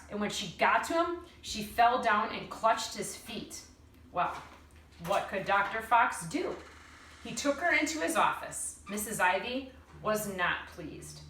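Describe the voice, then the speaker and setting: A woman teacher reading a children's story aloud with expressive, dramatic narration and deliberate phrasing. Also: medium pitch. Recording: roomy, distant mic, faint noise.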